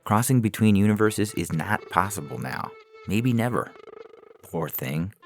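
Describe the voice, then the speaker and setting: A man's voice: on the low side, lively intonation, a little slow, vocal fry. A man voicing a weary, empathetic alien data technician who explains defensively in a science fiction story, over music. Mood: wistful. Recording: music under the voice.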